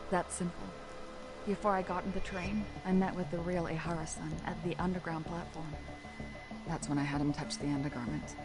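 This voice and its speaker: A smug, defiant young woman voiced by an actress in a video game, her voice a little low for a woman. She sounds dismissive and matter-of-fact, with loud music playing behind her. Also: fairly even intonation, fairly quick, close to an everyday voice. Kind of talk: English game dub dialogue.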